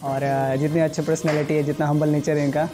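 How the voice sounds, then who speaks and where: A young man speaks with a Bihari Hindi lilt, reviewing dishes in a restaurant with easy, eager chatter. His voice sits a little high, and he keeps stringing thoughts together with fillers. Music plays clearly in the background. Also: level tone, quick pace. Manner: chatty, enthusiastic, lots of fillers. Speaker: food vlogger. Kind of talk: casual food review. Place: restaurant indoors.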